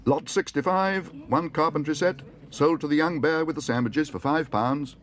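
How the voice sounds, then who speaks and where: A man voicing a loud, brisk male auctioneer who calls out bids in a raised, sing-song voice. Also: on the high side, pitched above the performer's own voice, natural voice. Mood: booming.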